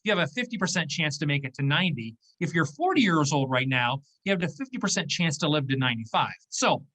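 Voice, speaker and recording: A man's voice: on the high side, lively intonation, fast, a bit bright, rough recording. A man in his fifties, a business owner speaking as a guest in an interview, explaining in a conversational way and checking in with "okay?" and "right?". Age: 50s.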